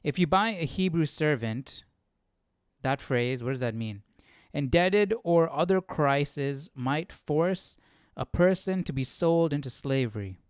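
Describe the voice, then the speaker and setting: Clear, close-sounding man thinking aloud. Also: on the high side, animated, a little slow, no echo. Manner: questioning, thinking aloud, often seeking agreement. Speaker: host of a livestream Bible study. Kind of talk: reading aloud with casual off-the-cuff commentary.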